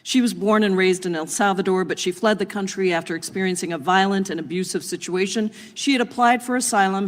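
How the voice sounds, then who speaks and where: A congresswoman at a committee hearing, earnestly laying out prepared remarks before asking a witness a question. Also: medium pitch. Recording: long reverb of a hearing room.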